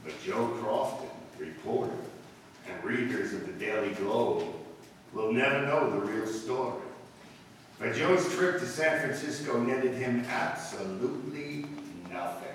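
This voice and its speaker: A man performing an ominous, taunting radio mystery narrator in a brooding, deliberate 1940s suspense style. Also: mid-range voice, lightly acted. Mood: grave. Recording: very echoey hall, distant mic, faint noise.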